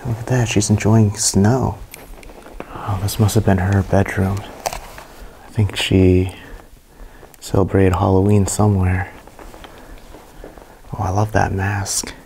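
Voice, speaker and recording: Low, flat-voiced man exploring an abandoned house. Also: takes it slowly, pauses often, a little hiss or hum, rough recording. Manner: hushed and awed, reacting to what he finds. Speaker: urban explorer vlogger. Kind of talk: casual walkthrough narration to camera. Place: inside a large abandoned mansion.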